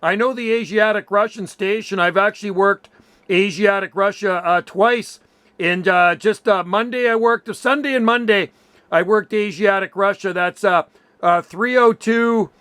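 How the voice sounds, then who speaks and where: A man's voice: high-pitched, normal speed, fairly bright tone, clear, resonant tone. A middle-aged man chatting casually as an amateur radio operator, using radio jargon and friendly forms of address. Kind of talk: casual radio contact chat. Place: home radio room.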